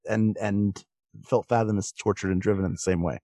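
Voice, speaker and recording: A man's voice: fairly low pitch, steady tone, a little fast, warm tone, no echo, studio quality. A man talking as a guest in a casual discussion, explaining things and rephrasing as he goes. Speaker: podcast guest, performer.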